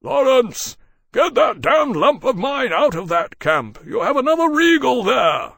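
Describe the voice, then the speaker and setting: A man voicing a gruff, exasperated, blustering male military officer in an audiobook. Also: mid-range voice, big pitch swings, a little slow, no room sound, clean studio sound. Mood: alarmed. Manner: shouty, cursing, blustering.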